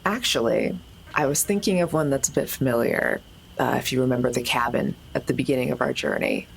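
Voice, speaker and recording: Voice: mid-range voice, animated, fairly quick, creaky, low-quality recording. An adult player in a tabletop roleplaying game, talking casually and hesitantly as they act out their character. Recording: faint background ambient noise.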